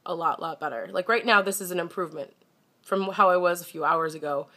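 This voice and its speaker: A woman's voice: on the low side, a little fast, sharp tone. A young woman chatting straight into her camera, her voice a bit stuffy and congested. She talks candidly and casually, at times a touch defensive, like she is setting her viewers straight. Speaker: vlogger.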